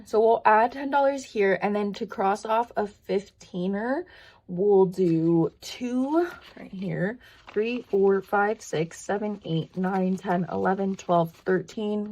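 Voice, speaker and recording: A woman vlogger talking casually and thinking aloud as she works, her voice croaky and tired from long talking. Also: mid-range voice, slow, dark tone, rough recording. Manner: rambling, thinking aloud, counting to herself. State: voice tiring after long filming. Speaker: budgeting vlogger. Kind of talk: casual chatty hands-on narration.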